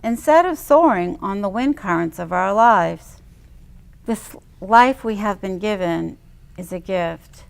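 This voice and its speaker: Slow, creaky-voiced older woman. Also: medium pitch, expressive. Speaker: poetry show co-host. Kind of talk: poetry reading and casual chat.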